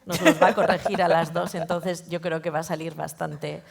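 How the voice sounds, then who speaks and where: A woman curator presenting an exhibition in a museum auditorium. Her voice sits on the low side and carries a gravelly fry. She explains at length in an easy, informal lecturing manner. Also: little pitch movement, normal speed.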